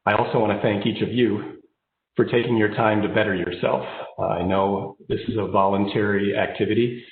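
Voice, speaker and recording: A man's voice: fairly low pitch, steady tone, brisk. An American man in his fifties with a slight head cold, giving an informal, personal keynote speech remotely. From born in Japan, raised overseas, then United States. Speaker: keynote speaker, engineering executive. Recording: phone-like narrow band, roomy echo.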